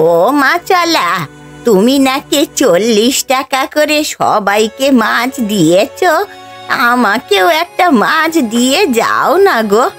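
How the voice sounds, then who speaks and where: A poor old village woman from a cartoon, played by a woman, wheedling as she speaks. Her high voice swoops up and down and moves slowly, with faint music underneath. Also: big pitch swings, a bit bright, full character voice. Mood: pleading, wheedling. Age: older. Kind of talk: cartoon voice acting.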